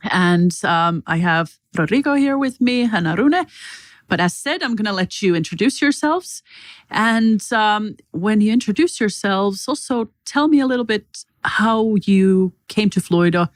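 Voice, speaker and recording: A woman's voice: mid-range voice, lively intonation, a little slow, no room sound. A woman hosting a company podcast, leading a loose chat full of fillers. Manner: friendly, loose, many fillers. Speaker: podcast host. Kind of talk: casual interview hosting.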